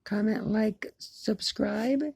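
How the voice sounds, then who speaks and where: A woman chatting casually to viewers as a hobbyist video maker, in a halting, repetitive, plain-spoken way. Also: medium pitch, steady tone, gravelly, a little mellow, breathy edge, dry recording, clean studio sound. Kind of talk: casual chat to camera. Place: indoors in an apartment, by a window.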